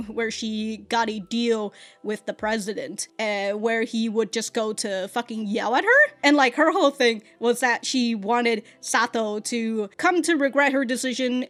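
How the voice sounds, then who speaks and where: A woman's voice: a little high, a bit bright. A woman hosting an anime reaction, chatting casually, laughing often and stumbling over repeated words.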